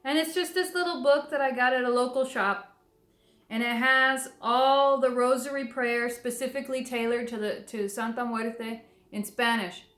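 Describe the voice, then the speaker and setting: A woman's voice: fairly high pitch, steady tone, normal speed, fairly bright tone, roomy sound. A woman talking casually to camera, chatty and rambling, full of fillers and restarts. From southern Texas near the Mexican border. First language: English. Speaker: devotional video creator.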